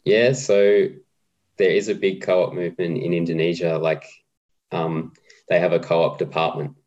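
A man with a very deep voice talks in a relaxed, hesitant way, often pausing on "um." His pitch barely moves, and the recording sounds dull and lo-fi. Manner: relaxed, hesitant with many ums. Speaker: guest, co-op policy adviser. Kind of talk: casual interview chat.

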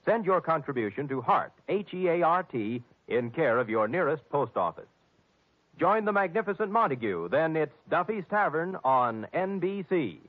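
A narrow, tinny old broadcast of a male network announcer. His voice is fairly high and highly inflected, reading formal promotional copy in a polished 1950s manner. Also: big pitch swings, normal speed. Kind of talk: 1950s scripted radio announcing. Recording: tinny, band-limited 1950s radio recording.

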